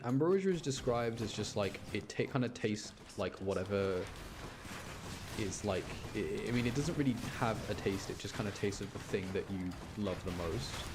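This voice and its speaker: A man's voice: lowish voice, background noise. A man giving casual live commentary as he streams a video game, rambling and often repeating himself.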